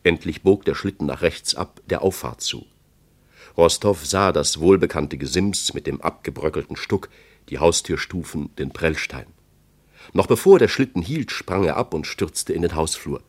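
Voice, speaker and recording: Novel reader, deep, animated, expressive literary delivery, radio play. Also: male voice, normal speed. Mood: dramatic.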